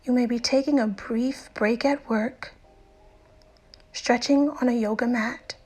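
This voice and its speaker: A woman narrating a guided meditation in a soothing, unhurried way with deliberate pauses and soft, breathy phrase endings. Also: a little high, fairly even intonation. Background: music.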